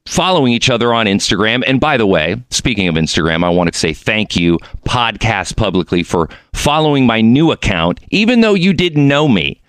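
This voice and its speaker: This is a man hosting a podcast, with a deep, low voice. He keeps things chatty and jokey and drifts off on tangents. The sound is close and dry, recorded on a good studio mic. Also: big pitch swings, normal speed, hardly any pauses, a bit bright, clear, resonant tone, no echo. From Mexico. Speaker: podcast host. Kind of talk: casual interview chat.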